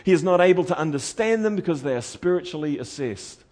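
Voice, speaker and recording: Earnest New Zealand preacher. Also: male voice, on the high side, expressive, quick pace. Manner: earnest, pleading, swings from loud urgency to quiet intensity. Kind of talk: sermon.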